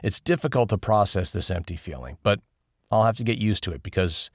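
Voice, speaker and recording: A man's voice: lowish voice, expressive, brisk, dry recording, clean studio sound. A man reading a first-person travel memoir as scripted audiobook narration.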